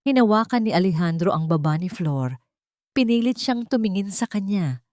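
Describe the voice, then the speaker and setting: A woman's voice: medium pitch, animated, moderate pace, darkish tone, no room sound, clean studio sound. A woman with a Filipino accent reading a dramatic scripted story as an audiobook narrator.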